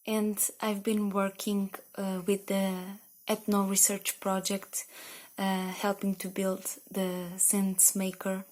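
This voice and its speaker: A young woman with a Portuguese accent, a Portuguese native speaker, talking about her translation work in a slow, halting way while she searches for words. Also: mid-range voice, completely flat delivery, darkish tone. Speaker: research project translator. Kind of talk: recorded project message. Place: indoor room.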